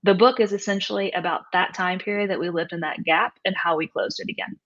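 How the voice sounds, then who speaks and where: A woman's voice: lowish voice, fast talker, fairly bright tone, muffled recording. A woman talking casually and candidly as an interview guest, explaining things from her own experience. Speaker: author and coach, interview guest.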